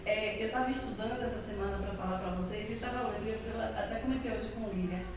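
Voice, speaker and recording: A middle-aged woman from Salvador with a Bahian accent, giving an earnest spiritist lecture that leans on repetition and tag questions. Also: a little high. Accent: Bahian Brazilian Portuguese. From Salvador, Bahia, Brazil. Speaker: spiritist speaker. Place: spiritist center hall. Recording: echoey hall from far off, noisy.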